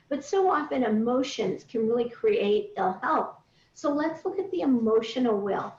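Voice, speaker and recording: A woman's voice: on the high side, a little mellow. A middle-aged woman coaching a group on a call, guiding them through points step by step in an instructive way. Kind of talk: guided coaching talk to a group. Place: indoors on a recorded group video call. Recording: roomy, distant, dull online call audio.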